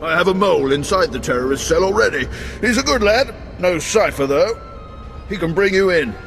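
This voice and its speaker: A calculating spymaster briefs his agent in a slightly raised, measured, dry voice. Faint game music sits behind him. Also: sits high, animated, vocal fry, a bit bright, exaggerated voice. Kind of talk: video game cutscene acting.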